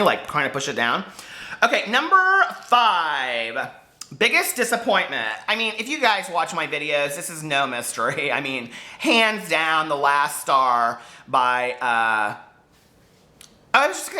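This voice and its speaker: Casting: American man, casual vlogger, high-energy swooping intonation, bright forward tone, gushy and digressive. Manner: gushing, confiding, digressive, emphatic. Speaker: book vlogger and author. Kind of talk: casual chatty vlog to camera.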